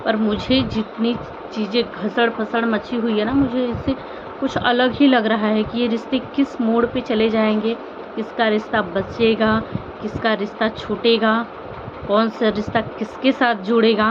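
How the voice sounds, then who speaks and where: A woman with a fairly high voice speaks as an informal commentary vlogger. She is earnest and pleading, often repeating herself and posing rhetorical questions. The sound is somewhat muffled, with clear background noise behind her. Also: little pitch movement, a little slow. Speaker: vlogger commenting on online family drama. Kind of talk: informal opinion commentary addressed to viewers.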